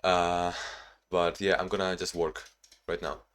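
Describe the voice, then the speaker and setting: A man's voice: low-pitched, level tone, a little slow, a bit bright, clean tone. A young man from Spain and Ukraine, not a native English speaker, talking casually to his own camera in a rambling, self-reflective way with many restarts, tired from little sleep. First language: Spanish or Ukrainian. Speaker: vlogger.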